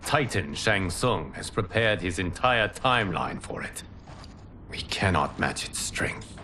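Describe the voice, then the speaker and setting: An actor playing a calm male protector who leads his allies and speaks in a composed, earnest way in a game cutscene. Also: sits low, a little slow, a little hiss or hum, full character voice. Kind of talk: video game cutscene acting. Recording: game audio with music bed.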